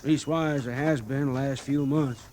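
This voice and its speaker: An actor playing a cautious, easygoing rustic cavalry private with a rural American accent, in an old Western radio drama. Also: male voice, medium pitch, steady tone, unhurried, darkish tone, natural voice. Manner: folksy drawl, placating. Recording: old radio recording, faint noise.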